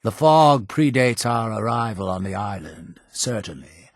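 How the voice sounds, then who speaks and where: A pious, imperious cult leader for a video game. His low voice swings widely in pitch through slow, solemn oratory full of dramatic pauses. It is husky and gravelly, guarded yet zealous. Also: lively intonation, no room sound, clean studio sound, exaggerated voice. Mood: solemn storytelling. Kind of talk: video game character dialogue.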